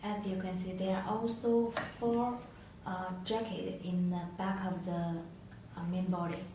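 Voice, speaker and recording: Female product demonstrator, non-native English, lowish voice, halting tutorial delivery, heavily reverberant room recording. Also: level tone. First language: not English. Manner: halting, hesitant delivery with searching pauses. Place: echoey indoor room, tabletop demonstration. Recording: echoey room, distant mic, faint noise.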